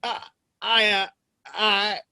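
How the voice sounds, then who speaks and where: A man's voice: sits high, level tone, takes it slowly, vocal fry, sharp tone, clean tone, dry recording, studio quality, heavily acted. A man acting an earnest, plain-spoken American cowboy in a Western audio play, shy but willing to stand up for himself, with folksy, hesitant talk. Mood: flustered.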